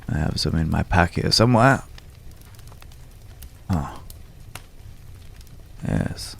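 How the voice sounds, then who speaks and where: A man acting a mysterious, courteous stranger in an ASMR roleplay, speaking in a hushed, measured way with lingering pauses and a deep, gravelly voice. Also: heavily acted. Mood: genial, curious. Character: mysterious, courteous male stranger visiting a hunter's night camp. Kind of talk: ASMR roleplay, slow dramatic monologue.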